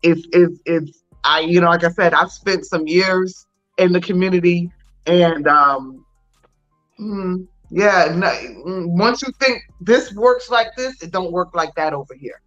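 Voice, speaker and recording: Deep-voiced female guest, frank, bright edge, lo-fi sound. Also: measured pace, faint music behind. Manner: frank, conversational. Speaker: podcast guest. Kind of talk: casual chat. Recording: dull lo-fi recording.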